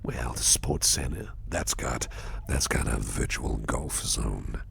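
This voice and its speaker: A man playing a deep, gravelly whispering boss. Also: expressive, noisy background, exaggerated voice. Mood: reassuring, soothing. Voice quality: breathy whisper, very low and gravelly. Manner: unhurried, reassuring, personal attention to listener. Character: attentive businessman boss, doting, softly flirtatious. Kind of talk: ASMR roleplay, soft whispering.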